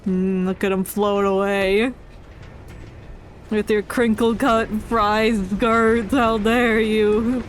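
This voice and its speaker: A woman's voice: medium pitch, flat delivery, slow pace, a little hiss or hum. A woman with a congested voice giving playful, chatty, casual live commentary as a game streamer. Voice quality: congested, stuffy nose. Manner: playful, chatty, mock-indignant. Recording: faint game music behind. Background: game audio and music.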